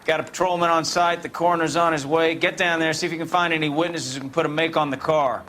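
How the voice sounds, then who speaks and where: A man's voice: fairly high pitch, steady tone, quick pace, pushed and bright, a little hiss or hum. An actor playing a commanding police boss in a video game, briefing his men and giving orders.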